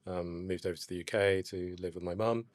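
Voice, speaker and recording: A man in his forties, born in Ghana, with a low, even delivery. He talks reflectively on a close studio mic and leans on "you know" and "um" between thoughts. The sound is dry, with no room around it. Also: fairly quick, no echo. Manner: hesitant, many fillers and "you know". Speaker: brand co-founder, guest. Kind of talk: reflective casual conversation.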